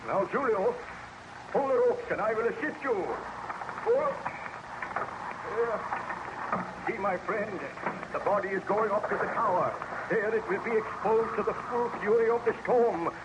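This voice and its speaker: An actor playing a proud, obsessed young scientist in a formal, theatrical old-time radio drama style, on a noisy recording. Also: male voice, high-pitched, measured pace, pauses often, highs cut off. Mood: excited commanding.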